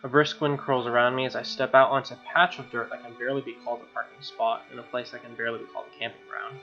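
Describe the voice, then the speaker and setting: Guy streaming a visual novel, bright voice, muttery offhand comments, bit of music behind. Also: medium pitch, level tone, racing, lo-fi sound. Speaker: streamer, let's play host. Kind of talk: casual stream chat mixed with reading game narration aloud. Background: game music.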